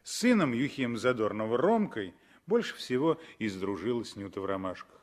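A man's voice: medium pitch, big pitch swings, normal speed. A man narrating a story in the scripted style of 1960s Soviet radio drama.

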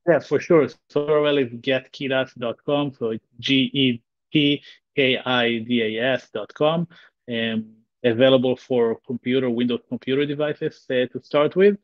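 A man's voice: medium pitch, steady tone, no room sound. Earnest Israeli man on a video call. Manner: earnest, halting with frequent restarts and fillers. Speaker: guest, tech company founder. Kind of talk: casual interview over video call. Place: bright room at home. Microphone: video call webcam. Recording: slightly dull, dry video call audio.

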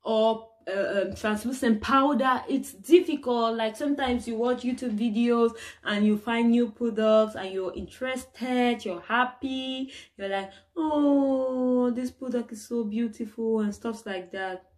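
Animated young woman from Cameroon. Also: fairly high pitch, a little slow, few pauses. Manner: animated, exclaiming and acting out the people she met. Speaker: vlogger. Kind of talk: casual personal storytelling to camera.

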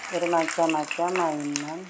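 A woman's voice: low-pitched, steady tone, slow, heavy background noise. A woman vlogging a walk through a toy stall, filming on a handheld phone and exclaiming over each toy to her viewers. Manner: enthusiastic, repetitive exclamations addressing friends. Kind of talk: toy shop walkthrough vlog. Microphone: handheld phone camera.

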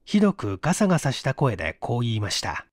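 A man's voice: medium pitch, huge pitch swings, quick pace, no room sound, clean studio sound. A man reading a mystery story aloud as a scripted narration. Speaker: narrator, audiobook reader.

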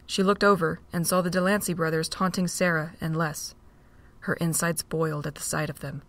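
A woman narrating a fan audio drama with steady, measured reading. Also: lowish voice.

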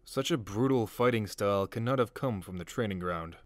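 A man's voice: lowish voice, fairly quick, dry recording, studio quality, lightly acted. A man playing an encouraging army drill instructor in a comic dub, authoritative yet friendly. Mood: chiding, curious. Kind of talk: dramatic comic dub acting.

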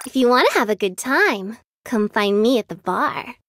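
A woman's voice: on the high side, expressive, normal speed, fairly bright tone, dry recording, studio quality. An actress voicing a cute, playful young girl soldier with a sadistic streak in an anime-style mobile game, girlish and sweetly teasing. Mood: teasing, sly. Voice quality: girlish, cutesy.

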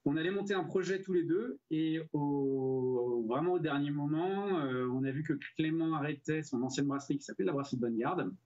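A man in his thirties from Brittany, chatting casually as an interview guest, pausing often as he feels out his sentences. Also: a little high, a little mellow. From Brittany, France. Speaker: brewery co-founder, interview guest. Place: indoor room, video call. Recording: dull, lo-fi video call audio.

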